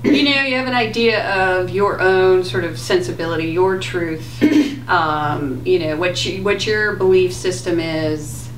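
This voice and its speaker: Booming chatty woman in an echoey room. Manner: chatty, forceful, quick to jump in. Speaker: tarot reader co-host. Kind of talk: casual tarot reading chat. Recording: echoey room, distant mic, faint hum.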